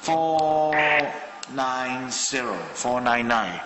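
A man's voice: mid-range voice, drawn-out words, long reverb tail, music in the background. A man from Malaysia casually demonstrating a radio at his desk, thinking aloud with many fillers. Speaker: hobbyist radio reviewer. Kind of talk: casual hands-on product demo. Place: indoor room at a desk.

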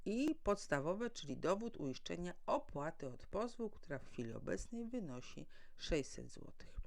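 A woman's voice: fairly low pitch, very animated, moderate pace, creaky, darkish tone. A woman legal adviser giving a formal, didactic explanatory talk to listeners. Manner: formal, didactic, asks and answers own questions. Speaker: legal adviser and mediator presenting.

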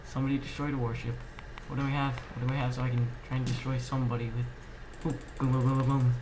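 A man giving casual, halting gameplay commentary as host of a gaming channel, slightly under the weather. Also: medium pitch, flat delivery, background noise.

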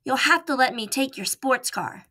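A woman voicing an eager, determined young male mouse in a storybook reading, pleading and excited. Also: sits high, moderate pace, pitched above the performer's own voice, full character voice.

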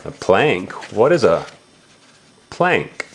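A man reads a storybook to a young child at an unhurried pace. His pitch moves up and down in a lively way as he asks simple questions and explains words, with exclamations along the way. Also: creaky, slight background noise. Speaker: adult reading aloud to a young child. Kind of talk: animated picture-book read-aloud with questions to a child.

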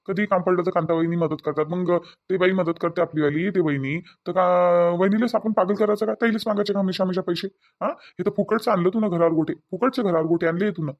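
A man's voice: high voice, quick pace, lo-fi sound. A man playing a hot-tempered, worried village husband with a rural Vidarbha Marathi accent, firing repeated questions in an amateur family drama. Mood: angry scolding. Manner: scolding, repetitive rhetorical questions.

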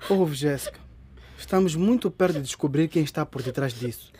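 Husky, protective young cousin. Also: male voice, fairly high pitch, expressive, brisk, warm tone. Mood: reassuring, determined. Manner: caring, earnest. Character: supportive young male cousin, teasing and protective. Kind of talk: radio drama acting.

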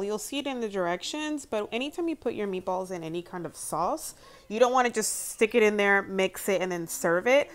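A young American woman talking casually and informally as she narrates a home cooking demonstration. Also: mid-range voice. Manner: chatty, informal. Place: home kitchen, indoors.

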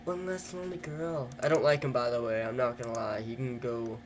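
A man's voice: medium pitch, steady tone, brisk, noisy background. A teenage boy from Canada, chatting casually and self-mockingly into a webcam in a small wood-paneled room. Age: teens. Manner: rambling, self-mocking, jokey. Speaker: vlogger. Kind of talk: casual joking webcam vlog. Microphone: webcam at desk.

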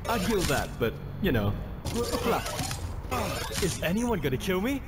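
An actor playing a suave, politely ironic young healer in a video game. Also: male voice, sits high, big pitch swings, unhurried, heavy background noise. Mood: wry. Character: suave, gently sardonic young male healer. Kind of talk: video game hero voice lines. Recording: echoey, loud music behind.

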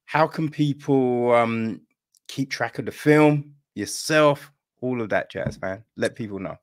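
A middle-aged man with a mid-pitched voice who takes his time as he speaks. He hosts a podcast interview over a video call in an informal, chatty style. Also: unhurried. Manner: informal, calls guest "man". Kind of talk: casual chat. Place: room at home, indoors.

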